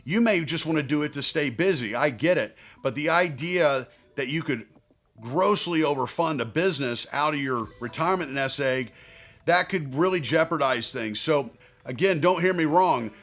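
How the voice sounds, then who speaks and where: A man speaking straight to viewers as a financial advisor, giving advice in a conversational, emphatic way with deliberate phrasing. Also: on the high side, expressive, fairly bright tone. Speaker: financial advisor and video host. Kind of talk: direct-to-viewer advice talk.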